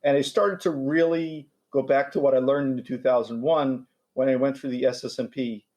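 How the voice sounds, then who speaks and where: A man's voice: mid-range voice, steady tone, moderate pace, a little mellow. Man in his forties who stutters. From New York, USA. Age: 40s. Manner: stutters, with repeated words and restarts. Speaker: guest. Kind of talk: casual interview talk.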